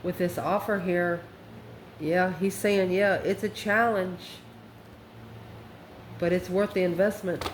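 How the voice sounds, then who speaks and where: A woman's voice: fairly low pitch, steady tone, slow pace, long pauses. A woman giving an improvised online tarot reading in a folksy, colloquial and sassy way. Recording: roomy echo with faint background noise.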